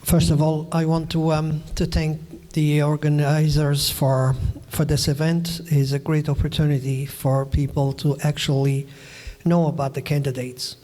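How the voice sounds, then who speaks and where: A man from the Azores, a mayoral candidate, giving a halting forum speech with many fillers. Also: a little high, little pitch movement, warm tone, a little hiss or hum. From Azores, Portugal. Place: community forum hall. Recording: long hall reverb.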